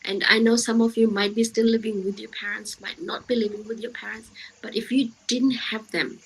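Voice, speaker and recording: A woman's voice: on the high side, flat delivery, brisk, husky, poor audio. A woman giving a motivational talk to young people, speaking emphatically with repeated phrases and rhetorical questions. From grew up in the Western world, of Indian heritage.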